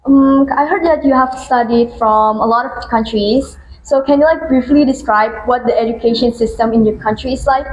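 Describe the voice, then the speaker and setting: A woman's voice: on the high side, moderate pace, hardly any pauses. A woman co-hosting an education podcast, earnest and inquisitive in her questions. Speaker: podcast co-host. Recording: echoey room.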